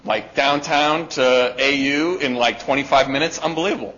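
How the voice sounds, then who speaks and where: A man with a loud voice giving a live talk to an audience, emphatic and peppering his points with "right?". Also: mid-range voice, normal speed, a little hiss or hum. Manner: emphatic, with rhetorical "right?" checks. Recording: dull lo-fi recording.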